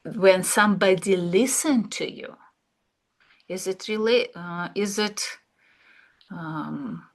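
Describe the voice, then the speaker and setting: A woman, an English speaker, teaching an art therapy class, speaking slowly and reflectively with fillers and pauses. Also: lowish voice. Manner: slow, searching, with fillers and pauses. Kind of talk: relaxed reflective class talk.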